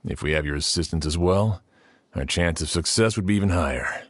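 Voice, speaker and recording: Fair-minded game lawman, deep, weary, authoritative, studio-dry. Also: fairly quick, no echo. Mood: decisive. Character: weary, gruff but fair male sheriff. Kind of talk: video game voice acting.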